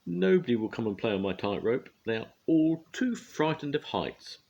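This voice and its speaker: A man's voice: mid-range voice, very animated, normal speed, creaky, darkish tone, muffled recording, pitched above the performer's own voice. An older man reading a storybook aloud, voicing a lonely, tearful little woman who walks a tightrope. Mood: sobbing, pleading. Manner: sobbing, pleading.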